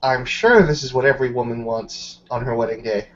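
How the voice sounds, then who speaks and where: Male player as female werewolf packmate, frank and wry, tabletop game. Mid-pitched, casual delivery, muffled lo-fi recording. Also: brisk, natural voice. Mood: skeptical. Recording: dull lo-fi audio.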